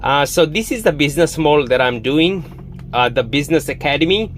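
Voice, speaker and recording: Man in his thirties, slightly high voice, casual pitch to camera, halting delivery. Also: lively intonation, a bit bright, a little hiss or hum. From Fiji. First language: Hindi. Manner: halting, many fillers and restarts. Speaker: online business promoter.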